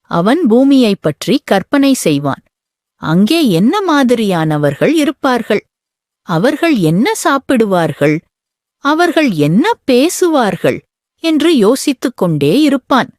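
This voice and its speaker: A woman tells a children's bedtime story at an unhurried pace, her voice rising and falling with plenty of expression. The recording is close and dry, with no room sound. Her manner stays gentle and storybook-like throughout. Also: fairly high pitch, big pitch swings, no room sound.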